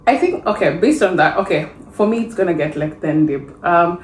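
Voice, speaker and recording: Kenyan woman chatting, kinda low voice, echoey room, faint hiss behind. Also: fairly quick. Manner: relaxed, playful, laughs and jokes. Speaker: podcast co-host. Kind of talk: casual chat. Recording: roomy with faint background hiss.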